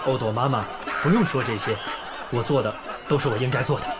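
An actor playing a young volunteer teacher in a village who speaks warmly and encouragingly. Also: male voice, medium pitch, fast talker, natural voice. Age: young adult. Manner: warm, encouraging tone. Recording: background music under the scene.

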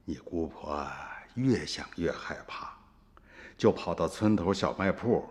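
Low folksy old storyteller, Shaanxi accent. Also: male voice, lively intonation, unhurried. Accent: Shaanxi dialect. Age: older. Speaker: narrator and grandfather. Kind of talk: radio drama narration. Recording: reverberant radio drama with music.

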